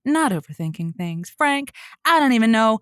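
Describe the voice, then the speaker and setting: A woman's voice: on the high side, very animated, normal speed, fairly bright tone, smooth, dry recording, studio quality. A woman playing a sympathetic, folksy host of a comedy call-in advice show, encouraging in manner. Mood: breezy.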